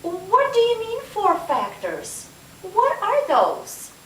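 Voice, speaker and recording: A woman puts on an eager young student puppet with an extremely high, swooping voice. The lines come out slowly and earnestly, like a recited classroom script. It is picked up from a distance in a roomy, hissy space. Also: wildly swooping pitch, a bit bright, heavily acted. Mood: curious. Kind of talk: scripted puppet show dialogue.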